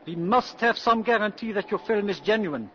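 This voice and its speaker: Stiff, higher-voiced henchman. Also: lively intonation, moderate pace, a bit bright, a little hiss or hum. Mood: insistent. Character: stiff, insistent male German henchman. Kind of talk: 1950s radio acting. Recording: dull old 1950s radio recording.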